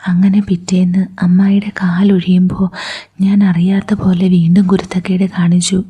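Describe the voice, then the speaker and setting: A woman's voice: lowish voice, flat delivery, moderate pace, warm tone. A woman narrating a first-person story entirely in a whisper. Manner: breathy whisper throughout.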